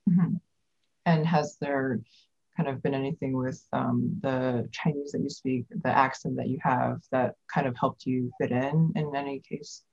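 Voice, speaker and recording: A woman's voice: a bass voice, steady tone, a little slow, gravelly, a little mellow. A woman moderating a conversational author interview, heard on dull, lo-fi audio.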